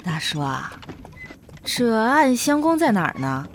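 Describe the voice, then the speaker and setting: A woman's voice: on the high side, sing-song, measured pace, a little hiss or hum. A woman playing a young female disciple disguised as a boy, polite, questioning and hesitant, in a Chinese period audio drama. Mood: curious, pensive.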